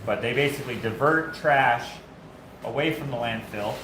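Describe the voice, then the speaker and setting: A man's voice: mid-range voice, moderate pace. Rambling man in an echoey workshop. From Canada. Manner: rambling, self-correcting, chatty with viewers. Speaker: host of a live build stream. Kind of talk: casual chat while working. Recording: echoey workshop with loud background noise.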